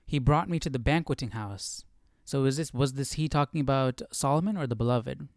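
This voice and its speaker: A man hosting a live Bible study, reading and commenting casually in a musing, questioning, halting way. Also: mid-range voice, dry recording, studio quality.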